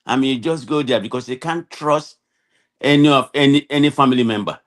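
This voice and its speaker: A man's voice: mid-range voice, a little fast. A man speaking as a discussion guest, giving an unscripted personal opinion emphatically, with repeated phrases.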